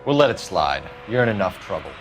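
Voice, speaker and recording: An actor with an American accent playing a stern, principled young 1940s police detective who speaks in a clipped, authoritative way. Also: male voice, sits low, big pitch swings, brisk, soft background music. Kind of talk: dramatic game cutscene acting.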